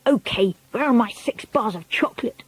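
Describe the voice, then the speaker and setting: A woman's voice: on the high side, expressive, normal speed, slightly rough, dry recording, poor audio. A woman voicing a rude, greedy, spoiled boy in a storybook reading, with a whining, demanding manner.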